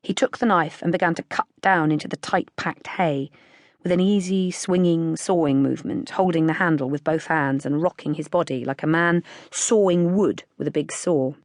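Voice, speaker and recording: A British woman reads a short story in measured literary narration. Her voice sits a little low for a woman, and the recording is dry and close. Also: no room sound. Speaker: audiobook narrator.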